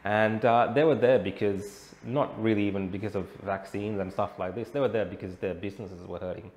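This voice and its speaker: A man's voice: fairly low pitch, fairly even intonation, fairly quick, darkish tone. An Australian man answering as an interview guest in a conversational way, often adding "you know". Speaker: interview guest, protest reporter. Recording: roomy echo, clear background noise.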